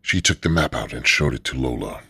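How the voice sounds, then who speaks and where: A man narrating a radio drama in a steady, measured storytelling manner. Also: extremely low pitch, gravelly, breathy edge, dry recording, clean studio sound.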